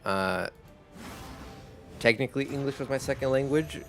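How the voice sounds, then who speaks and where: A man whose first language is Spanish, chatting casually as a streamer while gaming, in a rambling, relaxed way. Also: mid-range voice, moderate pace, creaky, faint music behind. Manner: rambling, relaxed, laughs easily.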